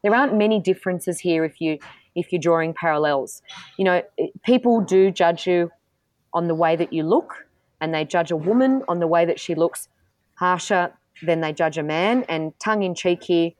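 A woman's voice: lowish voice, moderate pace, darkish tone, dry recording. A middle-aged woman, a politician being interviewed, who talks frankly and with self-assurance, often restarting her sentences. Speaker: politician and guest. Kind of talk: candid interview answers.

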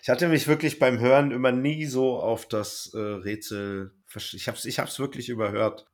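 A man's voice: mid-range voice, fairly even intonation, normal speed. A man speaking informally as a podcast host in casual review chat, with a dry, joking manner.

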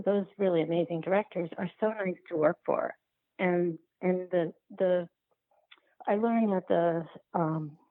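A woman being interviewed as a filmmaker, talking reflectively and haltingly as she thinks aloud and rephrases. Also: on the low side, no room sound. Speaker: guest, documentary filmmaker. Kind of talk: reflective podcast interview conversation. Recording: thin, phone-like line.

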